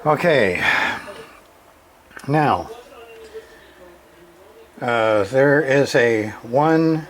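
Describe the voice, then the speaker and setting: A man giving a casual, halting walkthrough of a radio repair, full of fillers and restarts. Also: mid-range voice, animated, poor audio.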